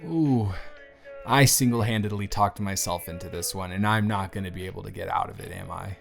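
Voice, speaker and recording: A man playing a bruised, self-deprecating teenage boy confiding in his best friend in an intimate audio roleplay, talking in a hesitant, gently wry, conversational way. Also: low-pitched, brisk, vocal fry. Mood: sheepish, joking. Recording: music behind the voice. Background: soft background music.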